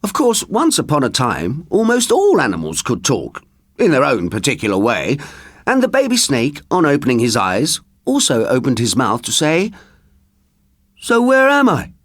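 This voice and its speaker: A man reading a children's story in a theatrical, expressive way. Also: mid-range voice, moderate pace, no echo, studio quality. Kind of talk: scripted children's story narration.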